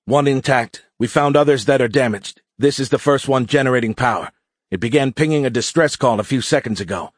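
A man voicing an excitable male pilot in an audiobook, giving eager, brisk military reports. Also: mid-range voice, dry recording, studio quality, pitched above the performer's own voice, natural voice. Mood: hopeful, alert.

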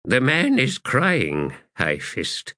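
A laconic male army cutter, voiced by a male narrator, gives understated, hesitant reports. The pitch jumps about widely, and the audiobook recording is close and dry. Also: huge pitch swings, extremely slow, bright, forceful tone, dry recording, full character voice. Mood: laconic, doubtful. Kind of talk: audiobook character acting.

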